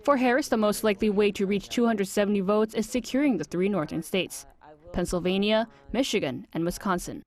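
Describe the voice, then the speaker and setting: A woman reporter narrates a scripted news package. Her voice sits at a medium pitch. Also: brisk, a little mellow.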